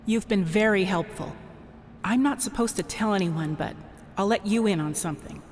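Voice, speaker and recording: A woman acting as a sharp, cool-headed insurance investigator in a contemporary radio drama, casual and dryly confident. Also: medium pitch, moderate pace, a little hiss or hum, natural voice. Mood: confiding.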